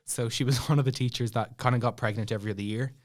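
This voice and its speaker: A man's voice: medium pitch, very fast. A young man with an Irish accent telling a personal story in casual chat, acting out bits of dialogue as he goes. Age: young adult. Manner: animated retelling with acted-out dialogue and laughing asides. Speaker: podcast guest telling a story.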